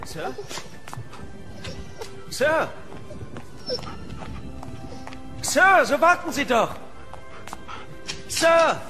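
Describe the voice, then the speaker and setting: An actor playing a polite, worried young hotel employee in a horror audio drama. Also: male voice, very high voice, big pitch swings, drawn-out words, bright, forceful tone, faint music behind, exaggerated voice. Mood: alarmed. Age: young adult. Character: anxious, deferential young hotel employee calling after a guest.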